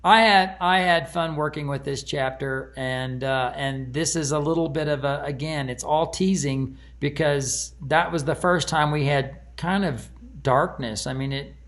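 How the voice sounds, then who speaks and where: A man's voice: on the high side, measured pace, hardly any pauses, fairly bright tone. A man speaking as an author in casual, conversational commentary.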